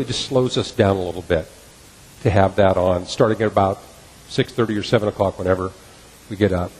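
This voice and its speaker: A man's voice: mid-range voice, darkish tone, slightly rough. A middle-aged man preaching a sermon as pastor, earnest and repeating key phrases for emphasis. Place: church sanctuary. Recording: long reverb of a church hall.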